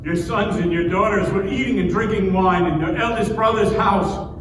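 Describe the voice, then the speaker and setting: Messenger, played by a man: alarmed bearer of dreadful news, high and loud voice, distant in the hall. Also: normal speed, natural voice. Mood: stricken. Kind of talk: dramatic stage reading of scripture. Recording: distant echoey hall with noise.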